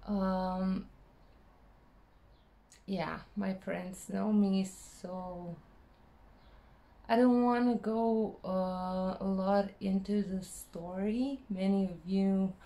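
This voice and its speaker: A woman from Croatia with a Croatian accent, speaking English as a second language in a personal vlog, pausing often and searching for words. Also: medium pitch, fairly even intonation, dark tone, echoey room. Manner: hesitant, many fillers, searching for words. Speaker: vlogger.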